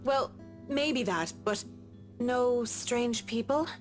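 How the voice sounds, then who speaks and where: A woman's voice: a little high, extremely slow, faint music behind. An actress playing a blunt, wry young woman at work in a visual novel game, with a dry, businesslike manner. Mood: guarded.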